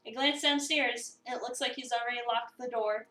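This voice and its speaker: A woman's voice: a little high, steady tone, a little fast, bright, forceful tone. A woman doing a playful, theatrical dramatic reading of a visual novel as a streamer. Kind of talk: dramatic reading with casual commentary. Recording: roomy, distant mic, music behind.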